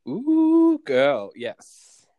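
A man's voice: fairly high pitch, huge pitch swings, extremely slow, phone-quality sound. An Australian man co-hosting a podcast, gossiping animatedly about reality TV and swearing freely. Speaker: podcast co-host. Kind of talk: casual chat recapping reality TV.

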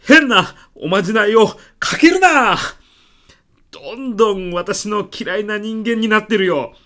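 A man's voice: sits high, expressive, a little slow, pushed and bright, heavily acted. A man playing an earnest, easily flustered middle-aged salesman in a comedic radio drama. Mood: indignant, exasperated. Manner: polite, hesitant, protesting.

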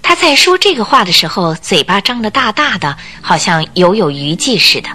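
A woman narrating a scripted radio drama in a deliberate, theatrical storytelling manner. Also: medium pitch, big pitch swings, sharp tone.

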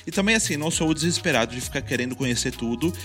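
A Brazilian man with a Brazilian Portuguese accent, co-hosting a casual chat, talking in a relaxed, reflective way with frequent restarts. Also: a little high, rapid-fire, faint music behind.

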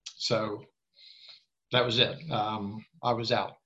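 A man's voice: lowish voice, flat delivery, takes it slowly, sharp tone. An older man giving an interview in a casual, rambling way, often restarting his words. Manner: rambling, repeats words at starts, frank. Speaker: interview guest. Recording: slightly dull lo-fi sound.

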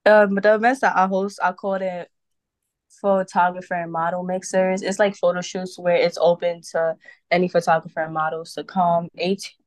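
A young woman from Brooklyn talks, upbeat and chatty, her sentences threaded with casual fillers. Her voice sits a little low for a woman. The sound is dry and close, with no room echo. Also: level tone, normal speed. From Brooklyn, New York. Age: young adult. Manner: chatty, upbeat, with many "like" and "you know" fillers. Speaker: podcast guest, model and event host. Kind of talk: casual interview chat.

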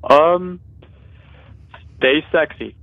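A man's voice: fairly high pitch, drawn-out words. An eighteen-year-old man from New York state calling in for a casual chat by phone. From Utica, New York, US. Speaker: caller. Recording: dry, tinny phone line.

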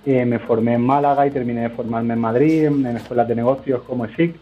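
A man's voice: mid-range voice, steady tone, fast, faint music behind. A man, a guest entrepreneur answering interview questions in a loose, rambling way full of hesitations. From Spain. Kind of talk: conversational interview. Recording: narrow phone-line sound.

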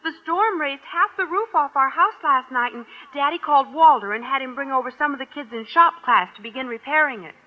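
A woman's voice: sits high, expressive, a little fast, few pauses. An actress playing a sweet, sensible American teenage schoolgirl, girlish and earnest, in an old radio comedy. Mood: chatty. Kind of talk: 1940s-50s American radio comedy acting. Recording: muffled old-time radio recording.

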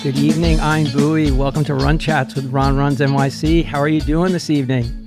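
A man's voice: a little high, steady tone, normal speed, loud music behind the voice. A man in his sixties hosting a running podcast over a video call, chatty and upbeat. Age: 60s. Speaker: podcast host. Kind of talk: casual interview chat.

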